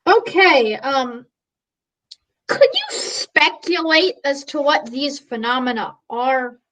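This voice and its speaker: A woman playing a flustered, bumbling radio news reporter who speaks haltingly in a mock live interview. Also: high-pitched, lively intonation, a bit bright, exaggerated voice, poor audio. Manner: halting, stumbling over words.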